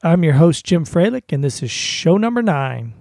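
A man's voice: fairly high pitch, big pitch swings, moderate pace, vocal fry, a little mellow, dry recording. A man hosting a podcast, who introduces his guest and asks questions in a friendly, conversational way. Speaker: podcast host. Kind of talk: podcast interview.

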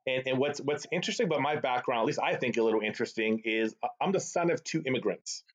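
A man's voice: medium pitch, fast. A middle-aged man from the Boston area with a Boston accent, Arabic his first language, chatting as a guest in an interview and often tagging on "right?". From Boston area, USA. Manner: animated, chatty, tag questions like "right?". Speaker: guest, sales executive. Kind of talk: casual storytelling interview. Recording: slightly dull lo-fi call audio.

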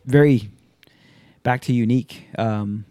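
Man, 30s, podcast host; casual, profane, animated storyteller; mellow tone, dry close recording. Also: mid-range voice, slow, no echo. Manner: loose, profane, animated storyteller acting out quotes. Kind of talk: casual chat.

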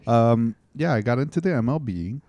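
Deadpan male podcast joker. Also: mid-range voice, moderate pace, dry recording, dull audio. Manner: deadpan absurd bits, playful. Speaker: podcast co-host. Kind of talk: casual comedic banter.

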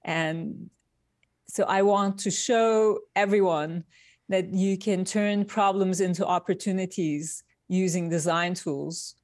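Woman, from Turkey, interview guest, unhurried, thoughtful, remote call. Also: on the low side, steady tone, a little mellow, no room sound, clean studio sound. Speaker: guest designer. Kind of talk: casual interview talk.

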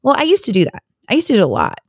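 A hoarse woman hosting a podcast, talking in a candid, chatty, rambling way on her own. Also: medium pitch, huge pitch swings, rapid-fire, no echo, clean studio sound. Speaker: podcast host. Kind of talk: casual solo podcast chat.

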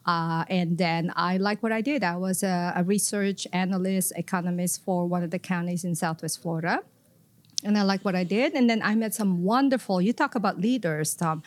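A woman's voice: lowish voice, moderate pace, no echo. A middle-aged woman from the Philippines with a Filipino accent, answering interview questions in an upbeat, articulate way. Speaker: guest, economic development executive.

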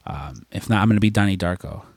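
A man's voice: low voice, quick pace, darkish tone, no room sound, clean studio sound. A young man chatting casually as a podcast co-host. He is congested from a cold and rambles with lots of fillers and swearing. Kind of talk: casual chat.

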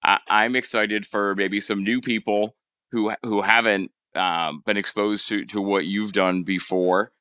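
A man with a Rhode Island accent hosting a podcast interview, speaking casually with halting restarts and repeated words. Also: fairly low pitch, steady tone, pushed and bright, clean tone, dry recording, studio quality. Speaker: podcast host.

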